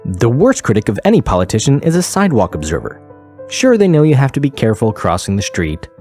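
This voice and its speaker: A man's voice: mid-range voice, huge pitch swings, a little mellow, faint music behind. Pompous man acting as a radio host, swinging delivery. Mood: pompous, preachy. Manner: pompous, sardonic, sermonizing with dramatic pauses. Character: smug male radio news host, preachy and dismissive. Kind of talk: scripted satirical radio show.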